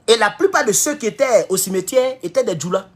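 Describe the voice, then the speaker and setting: Husky, lively Ivorian man ranting. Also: a little fast. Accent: Ivorian French. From Ivory Coast. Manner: heated, emphatic, swings between loud high outbursts and low mutters. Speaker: political commentator. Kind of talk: political commentary monologue. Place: at home in California.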